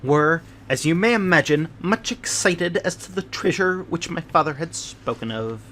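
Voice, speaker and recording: Fussy, curious little man voiced by a male reader, audiobook style. High-pitched, mannered, effusive. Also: animated, normal speed, sharp tone, a little hiss or hum. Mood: eager, self-satisfied. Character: fussy, curious little man telling his family's story. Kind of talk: audiobook character narration.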